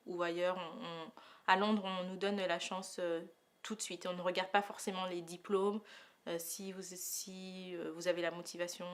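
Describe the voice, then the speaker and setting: A thirty-year-old woman from Paris gives a spontaneous personal testimonial, pausing often as she thinks aloud in a hesitant way. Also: fairly low pitch. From Paris, France. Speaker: testimonial interviewee.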